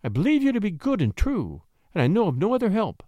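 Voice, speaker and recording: A sly countess of a period storybook, acted by a male narrator, lifted in pitch and swooping widely. She coaxes and pleads with a breathy, cajoling manner, in a dry, close sound. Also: wildly swooping pitch, quick pace, dark tone, no room sound, full character voice. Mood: pleading, urgent. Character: scheming countess, sly, coaxing, feigning innocent distress.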